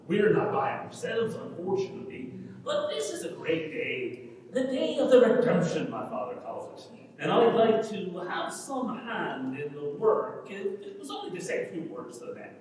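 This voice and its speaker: A man's voice: high-pitched, big pitch swings, moderate pace. A man playing a talkative, earnest young Irish countryman with a wordy, rhetorical manner, in a dramatic reading of a rural stage comedy. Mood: eager, proud. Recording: echoey hall from far off, faint noise.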